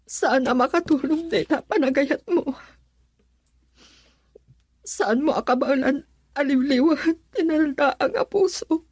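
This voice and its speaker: A woman's voice: sits high, unhurried, long pauses, darkish tone, no echo. A Filipino woman playing a troubled, despairing wife in a radio drama, with plaintive, melodramatic delivery. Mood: troubled, reluctant. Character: troubled debt-ridden wife Rosela, anguished and despairing.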